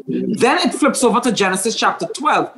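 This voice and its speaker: Lively high-voiced pastor hosting. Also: male voice, moderate pace, creaky, crowd noise. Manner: animated storytelling, stammering restarts. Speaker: pastor and host. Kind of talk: casual faith discussion.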